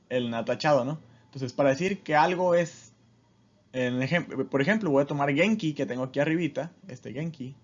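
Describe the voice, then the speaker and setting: A man's voice: a little high, highs cut off. A man giving an informal, explanatory language lesson as a teacher, with a didactic manner and frequent short check-in questions.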